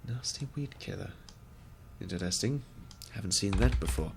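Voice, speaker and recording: A young man commentates a game in a wry, put-on gentlemanly manner, his deep, gravelly voice stuffy from a cold. He speaks into a headset, with faint keyboard clicks behind him. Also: lively intonation, normal speed, background noise. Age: young adult. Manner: mock-posh, wry, gentlemanly put-on accent. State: congested from a cold. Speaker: gaming video creator. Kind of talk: let's play commentary.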